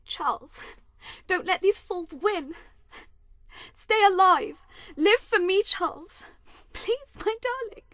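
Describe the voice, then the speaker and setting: A woman's voice: extremely high pitch, animated, takes it slowly, fairly bright tone, dry recording, studio quality, exaggerated voice. A woman playing a general's devoted murdered wife in a radio play, tender, mournful and dramatic. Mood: pleading, tender.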